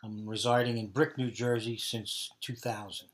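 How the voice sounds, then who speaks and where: A man's voice: mid-range voice, steady tone, moderate pace. A man in his fifties with a Brooklyn New York accent, giving answers in an interview. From Brooklyn, New York, USA. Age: 50s. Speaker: interviewee, dialect research subject.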